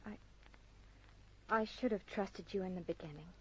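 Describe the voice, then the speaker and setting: An actress playing an anxious, defensive gangster's moll in an old radio melodrama. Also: female voice, mid-range voice, moderate pace, husky, natural voice. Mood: anxious, pleading. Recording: muffled old radio recording.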